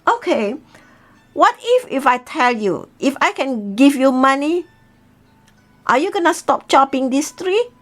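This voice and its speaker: A woman's voice: sits high, animated, unhurried, soft background music. A woman voicing a mother eagle, polite and earnest, in a storybook tale. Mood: bargaining. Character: pleading mother eagle guarding her three babies.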